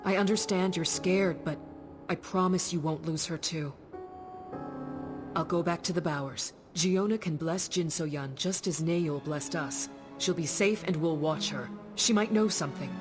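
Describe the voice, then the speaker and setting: An actress playing a warrior's earnest, caring female companion in a video game, gentle, serious and measured. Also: lowish voice, music in the background, natural voice. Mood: concerned, earnest.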